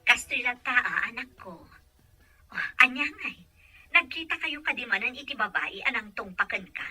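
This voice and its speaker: A woman playing a caring mother with a husky voice in an audio drama. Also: a little high, animated, normal speed, bright, forceful tone, heavily acted. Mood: concerned, firm. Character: caring, philosophical mother counselling her grown son.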